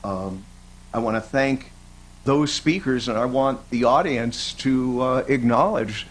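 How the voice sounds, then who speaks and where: A man in his sixties addresses an audience as event host. He speaks slowly at a middling pitch, halting and pausing often between short phrases. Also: a little hiss or hum. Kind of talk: spoken closing thanks. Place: indoor event stage with curtain. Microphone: microphone on a stand.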